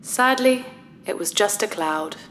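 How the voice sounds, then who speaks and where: A woman playing a cheeky, literal-minded female spaceship computer with breezy, deadpan sass in a sci-fi audio drama. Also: mid-range voice, a little slow, soft background music. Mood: breezy, smug.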